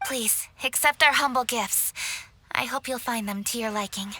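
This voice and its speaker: A brisk young woman official, formal and composed. Her voice sits fairly high. Soft game music plays behind. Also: slight background noise. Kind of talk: game cutscene voice acting.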